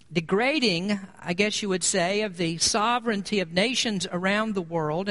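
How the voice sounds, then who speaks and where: An American man preaches as a pastor in a noticeably high-pitched voice. He explains his points in an earnest, measured manner, in the style of an expository sermon. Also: fairly bright tone.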